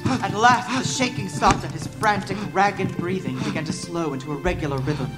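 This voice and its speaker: A woman's voice: on the low side, normal speed, over loud music, close to an everyday voice, rough recording. A woman playing an earnest, wary witness to horrors, speaking in formal, carefully worded language in a Gothic audio drama. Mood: tense dread. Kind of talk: dramatic Gothic audio story narration and dialogue.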